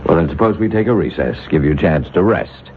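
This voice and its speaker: A man's voice: a bass voice, expressive, fast talker. A man playing a stern, formal committee chairman in a radio drama, authoritative and pressing. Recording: tinny, noisy vintage radio recording.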